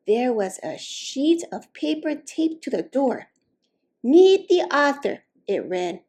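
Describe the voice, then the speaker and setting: A woman reading a children's story aloud expressively, with slow, deliberate, dramatic emphasis on key words. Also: fairly high pitch, warm tone, husky. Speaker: storytime reader.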